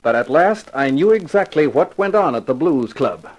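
A man's voice: fairly high pitch, expressive, normal speed, loud music behind the voice, close to an everyday voice. Man acting a wry hard-boiled radio detective. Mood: wry narration. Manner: wry, slangy, tough. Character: hard-boiled wisecracking male private detective narrating his case. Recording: noisy vintage radio recording.

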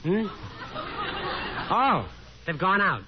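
A sardonic young man in a vintage radio play. His voice sits fairly high, with a dry, wry delivery. The old broadcast sound is muffled. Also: sing-song, drawn-out words, crowd noise, noisy background, heavily acted. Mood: wry, reluctant. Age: young adult. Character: wry, sardonic young American son and narrator. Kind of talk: 1950s radio drama acting.

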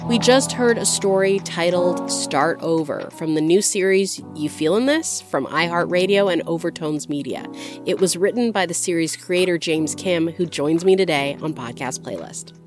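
A woman's voice: fairly low pitch, lively intonation, normal speed, soft background music. A woman hosting a podcast in a conversational radio interview style. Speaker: podcast host.

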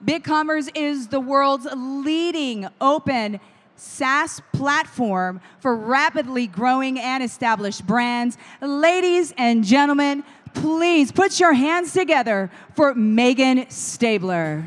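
A woman's voice: sits high, expressive, slow pace. A woman acting as event emcee, hyping up the audience and introducing the next speaker. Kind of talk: stage introduction. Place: large conference hall. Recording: echoing hall.

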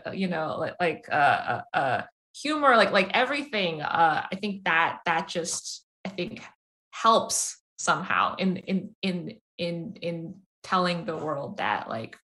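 A young woman who makes animated films, answering interview questions in a casual, halting way with many restarts. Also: lowish voice. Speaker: animation filmmaker.

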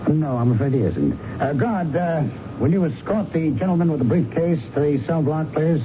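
A man's voice: fairly high pitch, expressive, normal speed, close to an everyday voice. Man acting a wry investigator, muffled noisy broadcast. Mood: wry, commanding. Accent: American. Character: wry, weary male police investigator, calmly in command. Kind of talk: 1970s American radio drama acting.

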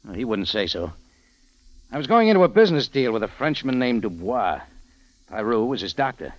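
Man playing hard-boiled American hero, wry and terse, lively intonation, muffled vintage radio recording. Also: mid-range voice, brisk, no room sound, natural voice. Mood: terse, uneasy. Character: tough, wry American adventurer narrating his own case. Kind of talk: 1940s radio acting, hard-boiled narration. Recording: dull old radio recording with music.

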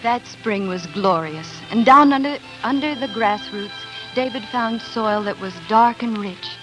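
A woman's voice: on the high side, a little slow, over music, natural voice, low-quality recording. An actress playing a young homesteader wife and mother in a 1940s radio drama, narrating in an earnest, wistful, dramatic way. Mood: wistful, hopeful. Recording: 1940s radio broadcast recording.

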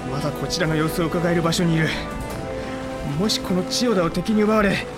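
Man acting high-pitched, forceful naval captain. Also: fairly quick, over loud music, close to an everyday voice. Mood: urgent resolve. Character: proud, urgent military man giving battle orders. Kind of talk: Japanese historical war audio drama acting.

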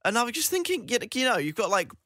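A man's voice: extremely high pitch, big pitch swings, fast, sharp tone, no room sound, clean studio sound. A man presenting a radio show in a casual, rambling, tongue-in-cheek conversational way.

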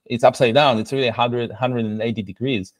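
A man's voice: mid-range voice, fairly even intonation, brisk, no room sound. A middle-aged man from Israel with an Israeli accent, answering conversationally as a podcast guest in a reflective, explanatory way. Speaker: podcast guest, product coach.

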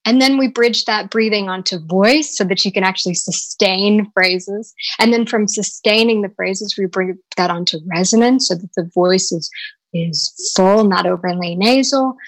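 A woman's voice: medium pitch, moderate pace, no echo, low-quality recording. A woman working as a voice coach, answering interview questions thoughtfully with frequent restarts and repeated words.

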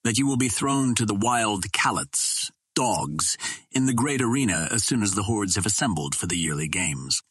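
Man doing an urgent green martian woman, loyal and caring, low husky storybook voice. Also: animated, normal speed, a bit bright, no echo, clean studio sound. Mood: alarmed. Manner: urgent, alarmed.

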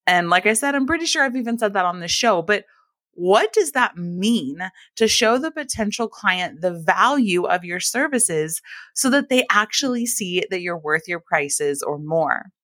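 A woman in her thirties hosting a casual solo podcast, her voice deep and scratchy from allergies, talking in a chatty, friendly way. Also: lively intonation, moderate pace, bright, forceful tone, dry recording, clean studio sound. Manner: chatty, friendly, uses y'all and like. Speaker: podcast host.

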